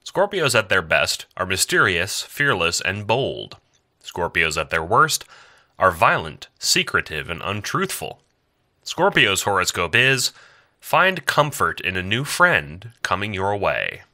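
Casting: male narrator, low and bright-edged, solemn delivery of an epic script. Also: big pitch swings, a little slow. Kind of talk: dramatic scripted story narration. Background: instrumental music with guitar.

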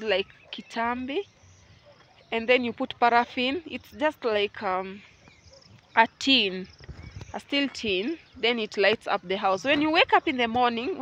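A woman's voice: a little high, a bit bright, a little hiss or hum. A Kenyan woman vlogging casually from her rural farm, chatting to viewers as family and guys, recorded on a phone outdoors. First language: Luo. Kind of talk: casual vlog chat to viewers.

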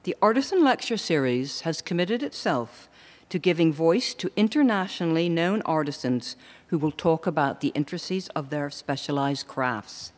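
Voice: medium pitch, animated, moderate pace. A host giving a prepared, formal introduction of the evening's speakers. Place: lecture hall. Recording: long reverb of a lecture hall.

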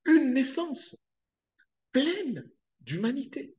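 A middle-aged man from Congo preaching a sermon to a webcam in a small room, slow and halting with many hesitations. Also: very high voice, sing-song, a little mellow, a little hiss or hum. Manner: sways and gestures while preaching. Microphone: webcam at close range.

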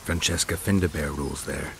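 An actor playing a terse, skeptical monster-hunting swordsman in a video game, gravelly and dry, speaking in clipped phrases. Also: very deep voice, steady tone, brisk, a bit bright, slight background noise. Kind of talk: game dialogue acting.

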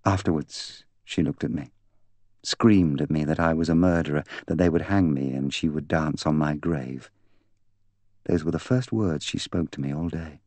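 A man plays a young woman in a mystery audiobook, proud and bitter. She speaks with candid, articulate intensity in a low register, recorded dry. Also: normal speed, darkish tone, dry recording. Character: proud, bitter young woman confessing to killing her fiancé. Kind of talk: audiobook character dialogue.

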